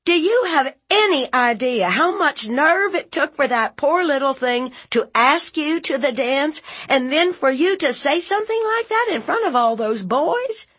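A woman's voice: high-pitched, animated, measured pace, few pauses, a bit bright, voice pushed up. A woman voicing a fierce, blunt, protective aunt in a story, scolding in an emphatic, folksy, interrogating way. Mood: outraged scolding.

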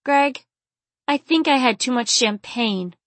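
A woman's voice: high voice, animated, slow pace, dry recording, pitched above the performer's own voice. A woman voicing a tipsy, emotional young woman in an audiobook, with a dramatic, pleading manner. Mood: tipsy, sheepish. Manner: dramatic, exclamatory. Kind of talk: audiobook character acting.

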